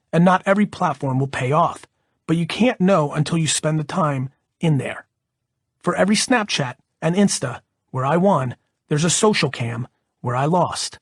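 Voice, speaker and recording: Husky, higher-pitched man reading emphatically. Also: no echo, clean studio sound. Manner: emphatic, blunt, informal. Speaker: audiobook narrator. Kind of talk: audiobook reading with conversational asides.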